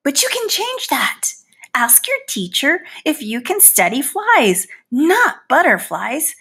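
A woman's voice: high-pitched, wildly swooping pitch, slow, pushed and bright, full character voice. A woman voicing a proud, easily hurt housefly in a storybook, with theatrical, pleading and boastful delivery. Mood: tearful, pleading. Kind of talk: storybook read-aloud in character.

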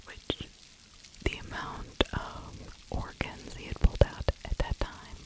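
Whispering woman, slow ASMR storyteller. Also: poor audio. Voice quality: breathy, unvoiced whisper. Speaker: ASMR true crime storyteller. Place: small indoor room. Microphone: large furry-windscreened mic, held very close to the mouth.

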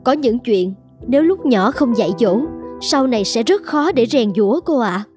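A woman's voice: fairly high pitch, animated, moderate pace, darkish tone, soft background music, natural voice. A woman playing a firm, polite young mother in a scripted cartoon, reasoning earnestly.

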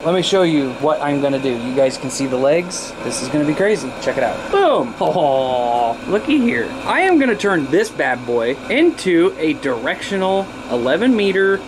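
A man's voice: fairly high pitch, very animated, moderate pace, background noise. An American man in his thirties chatting informally and upbeat in a rambling hands-on video blog, recorded on a handheld camera in a garage workshop. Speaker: video blogger and CB radio hobbyist. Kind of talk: casual hands-on vlog chat. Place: cluttered indoor garage workshop. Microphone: handheld camera at arm's length.

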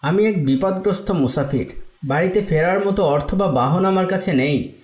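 A man's voice: sits high, moderate pace, a little mellow, lightly acted. A man voicing a needy, earnest traveller in an Islamic moral story cartoon, speaking persuasively. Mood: humble, pleading. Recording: echoey, reverberant room sound.

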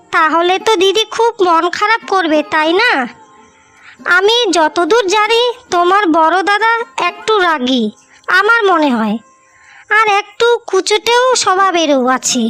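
A woman plays a young village wife in a cartoon, extremely high and childlike in pitch. She speaks slowly and pleadingly, earnest and full of sorrow, in a bright tone. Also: full character voice. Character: two young married village sisters, earnest and tearful. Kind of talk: cartoon story voice acting.

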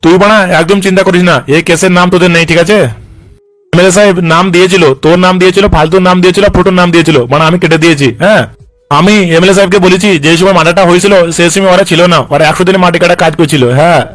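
A man's voice: sits high, steady tone, racing, a bit bright, no echo, faint music behind. A man voicing a corrupt, cocky police officer in a comic cartoon, coarse and glibly reassuring. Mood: cocky, conspiratorial. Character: corrupt, cocky, foul-mouthed male police officer, slippery. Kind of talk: comic cartoon dub dialogue.

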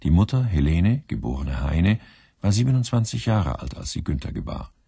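Male documentary narrator, low, mellow and creaky, scripted biographical read in a dry studio sound. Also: sing-song, moderate pace, no room sound.

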